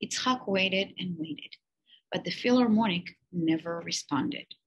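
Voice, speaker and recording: A woman's voice: fairly low pitch, measured pace, dull audio, slight background noise. A woman teacher reading a children's picture-book biography aloud, with lifted emphasis on key words.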